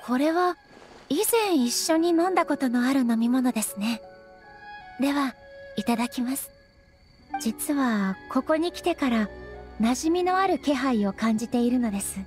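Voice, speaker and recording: A woman voicing a dignified, gentle female ruler in a Japanese anime game, speaking politely and formally with measured composure. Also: sits high, lively intonation, soft background music. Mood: serene, nostalgic.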